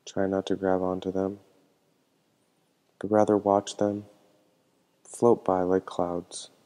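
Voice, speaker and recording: A man with a low voice guides a meditation in calm, measured instructions. His tone is soft-edged and mellow, and his pitch stays very flat and even. He speaks gently, as a meditation teacher does.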